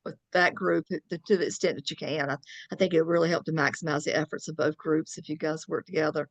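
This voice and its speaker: Earnest low-voiced woman board chair. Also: flat delivery, quick pace, dry recording. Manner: earnest, appreciative, with small restarts. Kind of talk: meeting remarks.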